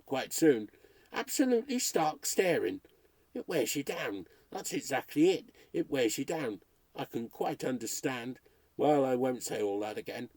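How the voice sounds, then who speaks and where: A man's voice: mid-range voice, mellow, no echo. A man reading as a rattled radio announcer. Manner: rambling, nervy chatter addressed to listeners. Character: male radio announcer alone in a haunted house, cracking up. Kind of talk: read-aloud ghost story.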